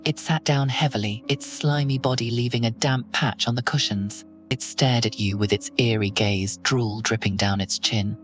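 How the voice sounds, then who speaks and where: A man narrates a horror scene in the second person, steady and ominous. His voice sits at a middle pitch, with soft music underneath. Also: normal speed, few pauses.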